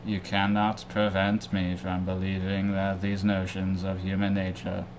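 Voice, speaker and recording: A man reading a debate transcript aloud in a deliberate, halting way. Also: deep voice, monotone. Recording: faintly noisy amateur recording.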